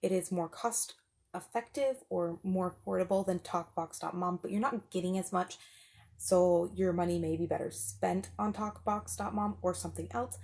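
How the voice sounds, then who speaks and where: A woman's voice: on the low side, steady tone, moderate pace, gravelly, darkish tone. A woman giving a casual, explanatory product review as a parent, talking informally and with enthusiasm.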